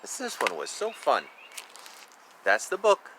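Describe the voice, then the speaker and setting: A man's voice: a little high, huge pitch swings, a little slow, noisy background. A man reading a children's picture book aloud expressively and informally, drawing out words and adding casual asides about the pictures. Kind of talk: animated storybook read-aloud with casual asides.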